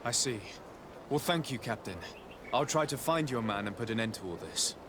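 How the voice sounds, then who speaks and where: A man playing a composed young noble diplomat in a video game, speaking in a formal, measured and polite way with quiet resolve. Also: mid-range voice, lively intonation, slight background noise, lightly acted. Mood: calm, firm. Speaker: diplomat character. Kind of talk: video game cutscene acting.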